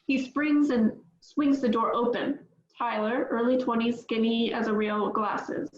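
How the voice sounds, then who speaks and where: A woman's voice: fairly high pitch, fairly even intonation, unhurried, highs cut off. Woman reading stage directions, roomy sound.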